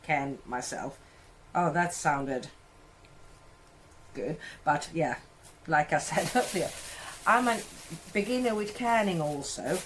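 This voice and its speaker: Slow-talking Swedish woman chatting while cooking. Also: low-pitched, a little hiss or hum, poor audio. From Sweden. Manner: rambling, self-correcting, chatty. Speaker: cooking vlogger. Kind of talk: casual cooking chat. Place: home kitchen, indoors.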